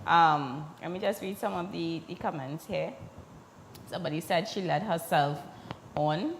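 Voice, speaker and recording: A woman co-hosting a casual talk show, animated and quick to interrupt, repeating words for emphasis. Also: lowish voice, reverberant, big-room sound, a little hiss or hum. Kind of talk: casual chat.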